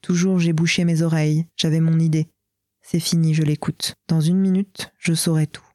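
An actress gives voice to a fierce young water sprite who defies the humans around her. The declamation is impassioned and theatrical, delivered in a lowish, mellow voice. The sound is dry and close, like an old stage play recording. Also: flat delivery, normal speed, no room sound, close to an everyday voice. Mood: defiant. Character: young female water sprite, defiant and scornful.

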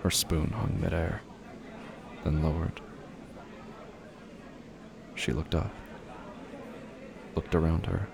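A man narrating a scripted dramatic story in a slow, grave, hushed manner. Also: very deep voice, steady tone, vocal fry, a crowd in the background.

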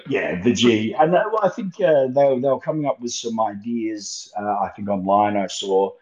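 A young Australian man, a guest wrestler, talking in a relaxed, rambling way and laughing easily, heard over a remote call. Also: fairly low pitch, normal speed. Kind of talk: casual interview chat. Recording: muffled lo-fi call audio.

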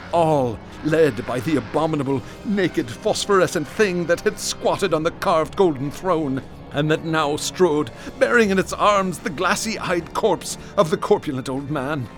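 Music plays clearly behind a haunted man played by an actor in a horror radio play. His voice sits fairly high, and he recites his nightmarish visions in florid, theatrical language, intense and traumatized. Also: a bit bright. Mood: dread-filled awe. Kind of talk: radio drama horror monologue.

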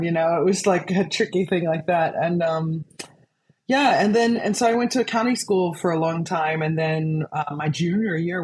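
A woman from Arkansas with a low speaking voice, telling stories as an interview guest, chatty and laughing often. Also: darkish tone. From Arkansas, USA. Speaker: guest composer. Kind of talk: casual conversational storytelling.

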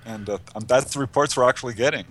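A man's voice: mid-range voice, racing, fairly bright tone. A man speaking conversationally as an interview guest, recounting anecdotes as a researcher and author, with a light chuckling manner.

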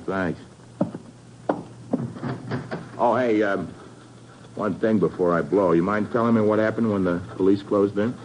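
A noisy old radio recording carries a lowish American voice, a man playing a slangy working stiff. He is tough, rueful and defensive, in 1950s radio drama style. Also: fairly even intonation, normal speed, dull audio, lightly acted. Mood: subdued. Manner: slangy, tough regular-guy talk. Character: slangy, defensive American construction supervisor, rueful but loyal.

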